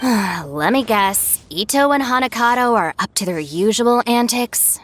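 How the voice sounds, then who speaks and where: Game gang minder: sensible, exasperated young woman, fairly high voice, expressive pitch, faint noise. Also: a little slow. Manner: sighing, cautious, orderly. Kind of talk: animated video game voice acting.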